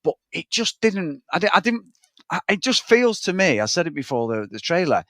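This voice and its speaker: A man's voice: a little high, very animated, normal speed, a bit bright, dry recording, studio quality. A man, co-hosting a podcast and chatting casually about a film in a frank, rambling way. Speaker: podcast co-host. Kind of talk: casual film review chat.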